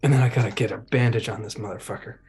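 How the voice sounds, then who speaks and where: A man playing a tabletop roleplaying game, moving casually between describing his actions and speaking in character, in a gravelly, husky voice. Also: on the low side, steady tone, fast, loud music behind the voice.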